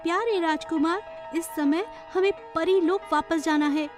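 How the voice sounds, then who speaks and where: A woman voicing a polite, gentle young fairy princess in a children's cartoon. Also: extremely high pitch, a little slow, music in the background, voice pushed up. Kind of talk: children's cartoon story acting.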